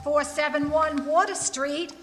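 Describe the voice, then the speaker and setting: An official-sounding dispatcher in a radio play, played by a woman. She calls out slowly and evenly in a high voice. The sound rings around a hall. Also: steady tone. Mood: detached, official. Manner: announcing, measured. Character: slow, repetitive female ambulance dispatcher. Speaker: stage radio drama actor. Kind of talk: live radio drama acting. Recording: echoey hall.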